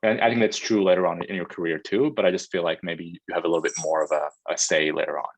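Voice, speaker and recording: A man in his thirties with a very deep voice talks through a video call. His delivery is dry and close, and he halts often, restarting his sentences. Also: steady tone, quick pace, no echo, low-quality recording. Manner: hesitant, many restarts and ums. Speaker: Foreign Service officer, alumnus guest. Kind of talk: casual panel Q&A answers.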